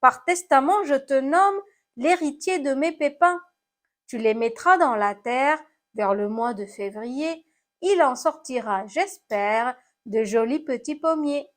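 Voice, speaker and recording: Woman voicing a high, plaintive storybook apple. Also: slow pace. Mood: resigned, generous. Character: battered apple, plaintive then gently resigned and generous.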